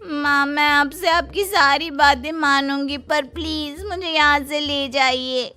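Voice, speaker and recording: Woman acting high-pitched whiny young bride. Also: steady tone, takes it slowly, bright, forceful tone, faint music behind, full character voice. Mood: whiny, frustrated. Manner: complaining, dramatic. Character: selfish, whiny young newlywed daughter-in-law. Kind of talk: Hindi cartoon story dialogue.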